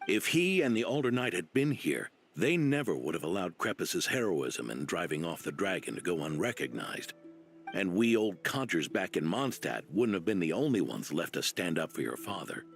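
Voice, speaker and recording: A man voicing an old veteran knight in a video game, gruff and dutiful, with a gravelly, weighty way of telling things. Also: low-pitched, sing-song, normal speed, exaggerated voice. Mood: bitter, firm. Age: older. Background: game music.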